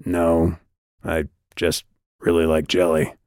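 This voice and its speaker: A man voicing a terse, unflappable male guide in a fantasy audiobook, speaking in a low-key, deliberate way. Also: monotone, darkish tone, no room sound, studio quality. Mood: dry.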